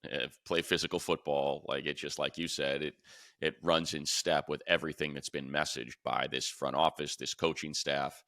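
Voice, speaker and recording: A man's voice: a bass voice, little pitch movement, normal speed, gravelly, sharp tone, no echo, studio quality. A middle-aged American man hosting a casual sports discussion, speaking emphatically and with firm opinions. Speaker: sports podcast host, play-by-play announcer.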